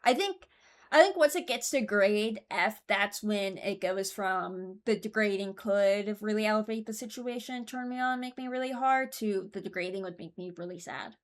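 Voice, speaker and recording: A woman's voice: medium pitch, normal speed. A young woman hosting a video with casual, informal commentary on game voice lines, rambling and often interrupting herself. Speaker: streamer and video host.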